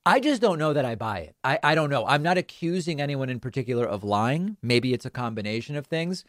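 A man hosting a political commentary show, speaking in a measured, conversational way. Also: medium pitch, very animated, dry recording, studio quality.

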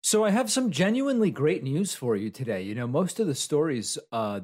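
A man from Argentina whose first language is Spanish hosts a solo political commentary segment. He talks in a conversational, argumentative way and answers objections he raises himself. His voice sits at a middle pitch for a man. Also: a little mellow.

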